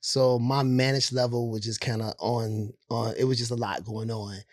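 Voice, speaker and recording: Man, hoarse raspy voice, laid-back, drawn-out, mid pitch, dry close sound. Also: flat delivery, no room sound. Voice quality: husky from overuse. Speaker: co-host, theater director for schools. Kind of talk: casual podcast chat.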